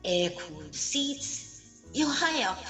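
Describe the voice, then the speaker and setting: A woman's voice: fairly high pitch, sing-song, slow pace, music in the background. An older woman in an amateur audio play acting a persuasive car rental agent giving sales patter.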